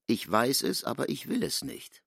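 A man narrates literary prose with lively, varied intonation. His medium-pitched voice has a creaky, gravelly edge, recorded close and dry. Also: normal speed, no room sound. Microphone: close, dry studio microphone.

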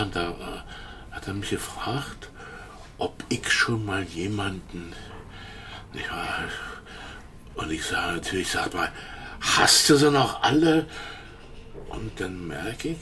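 An actor playing a shady, evasive informant in a radio drama, with a halting, hemming way of talking. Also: male voice, on the low side, a bit bright, a little hiss or hum. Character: shady, evasive pub-going male informant, eager and shifty. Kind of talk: naturalistic German radio drama acting.

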